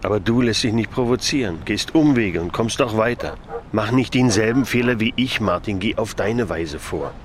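A man's voice: on the low side, moderate pace, a little hiss or hum, lightly acted. A man acting as a disgraced, resigned policeman in a German radio drama, speaking earnestly. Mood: resigned, urgent. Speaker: actor.

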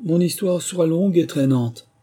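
A man voicing a touchy female storybook mouse, stern and curt, quick to take offence. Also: on the high side, dark tone, voice pushed up, natural voice. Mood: sighing. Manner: curt, severe.

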